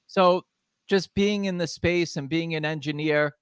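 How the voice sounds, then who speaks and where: Show host, a man with a slightly raised pitch; dry recording, loose rambling questions. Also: steady tone, measured pace, clear, resonant tone, no room sound. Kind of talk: interview hosting.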